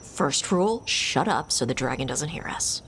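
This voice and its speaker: Female dragon hunter, low voice, terse, matter-of-fact, dry, game dialogue, faint background noise. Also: animated, normal speed, a bit bright. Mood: commanding.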